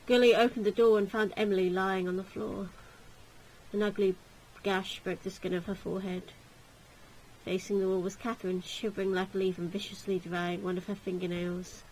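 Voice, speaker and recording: A woman's voice: medium pitch, little pitch movement, brisk, a little mellow, slight background noise, low-quality recording. A woman reading a gothic visual novel aloud as narrator, in a dramatic storytelling style.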